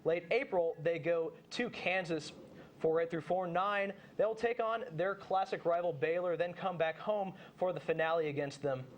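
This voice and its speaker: A young man with a slightly high-pitched voice hosts a sports preview. He delivers his commentary with occasional restarts and stumbles. Faint noise sits behind his voice. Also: moderate pace. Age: young adult. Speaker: sports show host.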